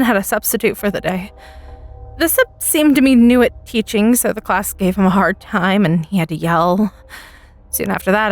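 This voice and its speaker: A woman playing an anxious high school freshman girl who tells her story in a hesitant, stammering way. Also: lightly acted. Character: nervous teenage freshman girl recording during a school lockdown. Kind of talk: dramatic first-person audio story narration.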